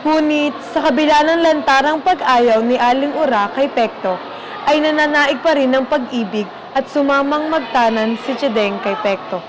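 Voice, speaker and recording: A woman with a high voice and a Filipino accent narrates a radio drama from a script. Faint noise runs behind her. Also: normal speed, lo-fi sound.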